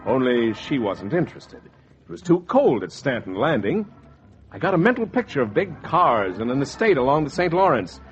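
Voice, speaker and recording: A man as a slick, animated 1940s radio schemer. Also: medium pitch, moderate pace, close to an everyday voice. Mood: wry, self-satisfied. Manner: wry, animated storytelling. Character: slick, wry, scheming fur speculator telling his tale. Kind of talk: 1940s hardboiled radio narration and dialogue. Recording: dull 1940s radio recording, music behind.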